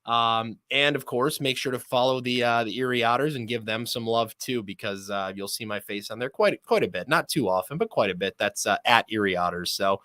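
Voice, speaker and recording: Bright, dry-sounding male sports interview guest. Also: mid-range voice, fairly quick, hardly any pauses, no room sound. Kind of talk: conversational sports podcast interview.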